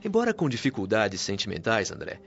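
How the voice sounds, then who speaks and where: A man's voice: on the low side, wildly swooping pitch, a little fast, vocal fry, heavily acted. A Brazilian man playing a wise, patient spirit guide in a radio drama, speaking in a formal, earnest, didactic way. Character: wise, patient male spirit mentor of a heavenly colony. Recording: dull lo-fi audio with music underneath.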